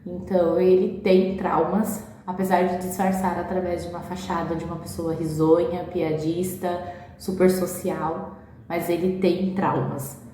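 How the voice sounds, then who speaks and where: A Brazilian woman chatting casually at home for a reading vlog, often ending sentences with a quick "right?". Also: on the low side, level tone, warm tone. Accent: Brazilian Portuguese. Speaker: book vlogger. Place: at home, echoey room. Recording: very echoey room, faint background hiss.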